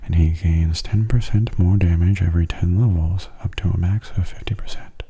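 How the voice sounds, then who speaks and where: A man giving soft-spoken ASMR gameplay commentary in a hushed, breathy, unhurried and casual way, close to the microphone. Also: fairly even intonation. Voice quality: breathy, husky low voice. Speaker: gaming ASMR video host. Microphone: close mono microphone.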